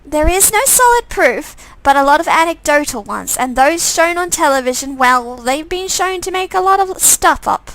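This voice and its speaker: Curious, talkative young female visual novel character, played by a woman, high voice, dry sound, theatrical conversational delivery. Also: lively intonation, normal speed, hardly any pauses, a bit bright, no room sound, low-quality recording. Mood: wry.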